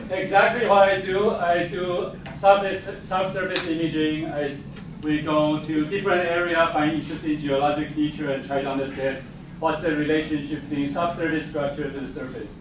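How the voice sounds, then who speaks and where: A man's voice: fairly high pitch, steady tone, normal speed. Echoey Taiwan-born man, English. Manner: non-native grammar, self-deprecating, chuckles often. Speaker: geophysics professor. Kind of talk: casual faculty talk. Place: lecture hall. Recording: very echoey hall, far-off mic, noisy.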